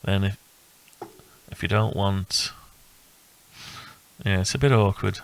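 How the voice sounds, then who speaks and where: A man giving a casual, unscripted walkthrough of a hobby build, thinking aloud in a halting, rambling way. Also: deep voice, vocal fry, smooth. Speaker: electronics hobbyist presenting own build.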